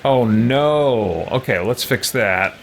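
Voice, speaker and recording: A man's voice: medium pitch, expressive, takes it slowly, vocal fry, slight background noise. A man hosting a let's play, giving casual gameplay commentary. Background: loud game music.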